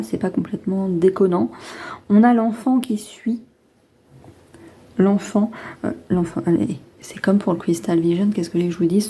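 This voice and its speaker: A woman's voice: mid-range voice, mellow. A woman with a head cold chatting casually to viewers as she reads tarot cards, hesitant and rambling as she thinks aloud. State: has a lingering head cold. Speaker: tarot card reader hosting a reading.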